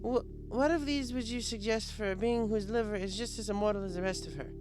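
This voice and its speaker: A man voicing an imperious, excitable pony princess in a story reading, with commanding, archaic royal speech. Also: sits high, brisk, over music, pitched above the performer's own voice, close to an everyday voice. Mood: tipsy, eager.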